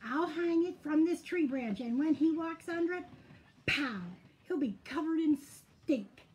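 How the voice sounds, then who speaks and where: A woman's voice: a little high, expressive, slow pace, darkish tone. A woman voicing a grumpy, scheming male storybook skunk in an indignant, emphatic manner. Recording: noticeable background noise.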